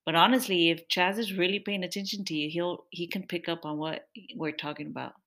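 A woman's voice: lowish voice, steady tone, a little fast, a bit bright. A young woman in casual chat with her partner on a podcast, talking freely, laughing often and complaining playfully. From Mexican-American family. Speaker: podcast co-host.

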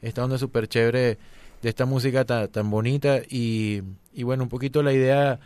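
A man co-hosts a radio music show with an ordinary mid-pitched voice, recorded very dry and close. He explains things in a relaxed way, leaning on fillers as he talks. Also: moderate pace, clear, resonant tone, dry recording. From eastern Venezuela. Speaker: radio co-host. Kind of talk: casual radio chat.

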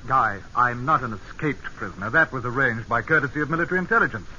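Smooth-talking british spy, urbane old chum type, very bright forceful voice, old radio play with dull sound. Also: male voice, mid-range voice, expressive, fast. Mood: earnest, persuasive. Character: suave, urbane British secret agent, a persuasive old friend. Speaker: actor. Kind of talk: old-time radio drama acting. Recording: muffled old radio broadcast, faint hiss.

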